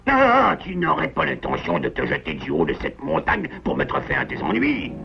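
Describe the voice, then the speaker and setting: A man voicing a gruff, bossy animal bandit boss with a secret kind heart in a French cartoon, with a gravelly, theatrical delivery. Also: low voice, wildly swooping pitch, normal speed, full character voice. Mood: coaxing. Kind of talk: French cartoon dub acting. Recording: muffled sound with music behind.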